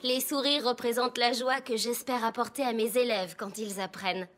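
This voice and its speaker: A woman voicing a cheerful female pony schoolteacher in a cartoon dub, explaining things clearly, gentle but firm. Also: on the high side, fairly even intonation, normal speed, lightly acted. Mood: bright, instructive. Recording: music behind the voice.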